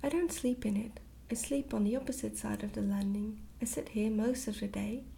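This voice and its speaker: A woman voicing a kindly, mysterious old grandmother queen, calm and half-whispered, in a storybook reading. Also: medium pitch, moderate pace, gravelly, natural voice. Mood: calm, cryptic. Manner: calm, patient, gently cryptic. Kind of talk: hushed ASMR storybook reading.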